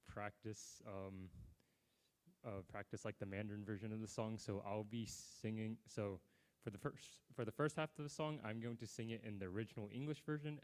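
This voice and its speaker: A young man from the United States chatting casually on stage at an open mic, relaxed and rambling with lots of fillers, in an outdoor amphitheater. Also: lowish voice, smooth.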